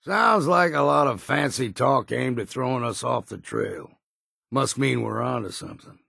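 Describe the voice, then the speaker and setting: Very gravelly old backwoods hunter. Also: male voice, medium pitch, normal speed, sharp tone, dry recording, clean studio sound, heavily acted. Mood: wry, grim. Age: older. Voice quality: gravelly, raspy. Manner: folksy, dropped endings, laconic. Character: gruff old hard-drinking male hunter and fog guide. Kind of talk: video game character acting.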